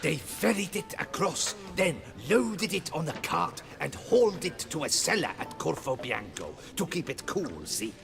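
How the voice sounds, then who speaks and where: Long-winded rustic fisherman, gravelly creak, dramatic storyteller, superstitious, fantasy game, music behind. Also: a little high, big pitch swings, unhurried, few pauses, heavily acted. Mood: dramatic, spooked. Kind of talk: fantasy video game dialogue.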